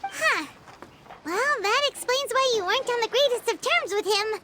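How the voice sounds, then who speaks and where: A woman's voice: very high-pitched, lively intonation, moderate pace, very noisy. A woman voicing a tiny chirpy fairy companion who talks about herself in the third person. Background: game music.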